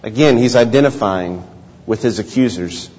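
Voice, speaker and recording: A man preaching a sermon as pastor, telling stories in a measured, earnest way. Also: on the low side, darkish tone, breathy edge. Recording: dull sound, long hall reverb.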